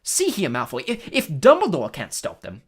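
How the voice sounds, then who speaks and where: A man's voice: a little high, huge pitch swings, normal speed, slightly rough, pitched above the performer's own voice, full character voice. A man voicing a nervous, flustered government official in a storybook, hesitant and stuttering.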